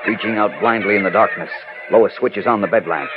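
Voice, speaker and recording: A man's voice: lowish voice, brisk, very noisy, lightly acted. A man acting as a grave, suspenseful narrator in a theatrical 1940s radio drama style. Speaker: announcer and narrator. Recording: dull old radio recording. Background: dramatic music.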